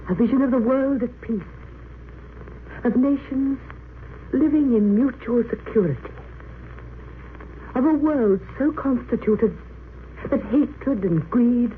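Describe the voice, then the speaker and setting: An actress playing a composed, idealistic woman prisoner facing execution in an old radio drama, speaking with earnest, formal solemnity. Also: a little high. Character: Edith Cavell, condemned woman prisoner, composed, idealistic, resolute. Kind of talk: 1930s-40s radio drama acting. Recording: muffled, noisy vintage radio recording.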